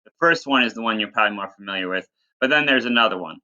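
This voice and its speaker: A man's voice: lowish voice, lively intonation, racing, bright, forceful tone. A man reading a story aloud like a teacher, with informal, instructive asides to his listeners. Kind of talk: read-aloud storytelling with plain explanatory asides. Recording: dull, lo-fi sound.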